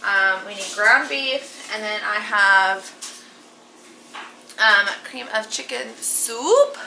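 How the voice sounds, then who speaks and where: Hoarse, chatty woman cooking vlogger. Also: mid-range voice, expressive, slow pace, bright, forceful tone, a little hiss or hum, rough recording. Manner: chatty, with frequent ums and likes. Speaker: cooking channel host. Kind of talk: casual cooking vlog chat.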